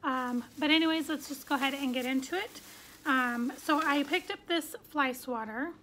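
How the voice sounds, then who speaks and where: A woman's voice: high voice, moderate pace, bright, forceful tone, slight background noise, low-quality recording. A woman in her thirties sitting on her bedroom floor, chatting casually through a shopping haul with lots of "um" fillers. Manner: chatty, many "um" fillers, upbeat. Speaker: vlogger. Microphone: phone camera at arm's length.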